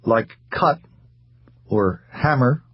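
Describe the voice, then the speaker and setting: A man's voice: mid-range voice, animated, extremely slow, slightly rough, full character voice. A man doing a dull, mumbling depressed husband. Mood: dull, plaintive. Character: depressed, timid, passive middle-aged husband.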